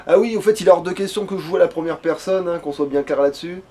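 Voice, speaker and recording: High-pitched man bantering over a video game. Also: quick pace, mellow. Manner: crude, jokey, sweary banter. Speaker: let's play player. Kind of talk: casual gaming commentary with a co-host.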